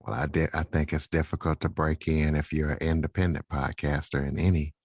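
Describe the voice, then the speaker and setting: A man's voice: rumbling low, level tone, fairly quick, darkish tone, dry recording, studio quality. A man hosting a conversational interview, asking questions and adding brief comments. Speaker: podcast interviewer and host.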